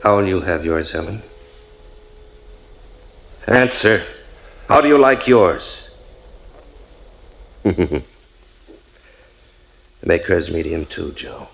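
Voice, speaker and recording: A man's voice: low voice, expressive, extremely slow, gravelly, pauses often, heavily acted. A man playing a genial but menacing husband in a 1940s radio drama, coaxing and chuckling, on a dull old recording.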